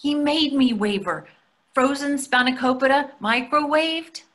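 A woman's voice: sits high, unhurried, fairly bright tone. An older woman playing a hungry, nagging wife who questions everything in a staged comedy reading over a video call. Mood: fretful. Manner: querulous, questioning. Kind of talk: readers theatre comedy acting. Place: indoor room on a video call. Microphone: video call microphone.

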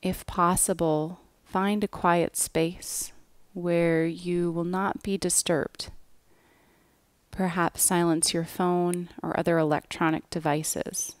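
A woman leads a guided relaxation at a very slow, unhurried pace. Her voice sits on the low side and her phrases end softly. The sound is close and dry, with very little room around it. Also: flat delivery, dry recording. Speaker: yoga nidra guide. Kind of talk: guided relaxation meditation, scripted.

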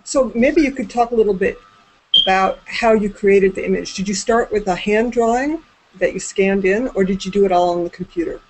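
A woman's voice: mid-range voice, a little fast, low-quality recording. A woman in her forties asking casual interview questions over a video call from a room indoors. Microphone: computer mic on a video call. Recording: faint background noise on video call.

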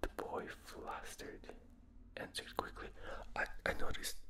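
A man with a non-native English accent whispering a book aloud for binaural ASMR, reading with small slips and restarts, recorded in a dark room at night. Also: measured pace. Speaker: reader. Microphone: binaural microphone.

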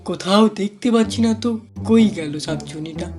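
A woman's voice: fairly low pitch, a little fast, over loud music, close to an everyday voice. A woman voicing a fearless, boastful young male village hero in a cartoon, confident and commanding. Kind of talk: cartoon dialogue.

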